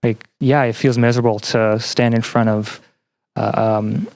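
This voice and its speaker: Earnest young man, medium-pitched voice. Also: flat delivery, unhurried, gravelly, darkish tone. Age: young adult. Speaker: podcast guest, student and teaching assistant. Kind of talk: conversational interview answers.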